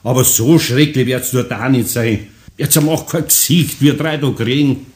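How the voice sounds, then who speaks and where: An actor playing a good-natured older master carpenter in a radio play, talking in homely, gently teasing Bavarian dialect. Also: male voice, mid-range voice, a little slow, close to an everyday voice. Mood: reassuring.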